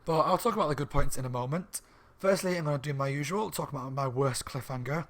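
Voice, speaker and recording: A slightly hoarse man reviewing a book in a rambling, casual chat at home, with lots of ums and restarts. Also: fairly high pitch, fast talker. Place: room at home by a window on a main street.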